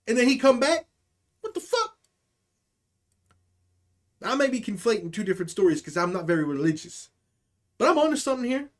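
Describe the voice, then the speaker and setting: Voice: mid-range voice, very animated, brisk, pauses often. A live stream comedy host telling stories casually to chat, with slangy, profane language and acted-out quotes.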